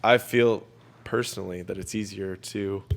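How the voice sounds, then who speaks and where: A man's voice: lowish voice, steady tone, normal speed. A young man casually interviewing classmates as the host of a student radio Q&A. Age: young adult.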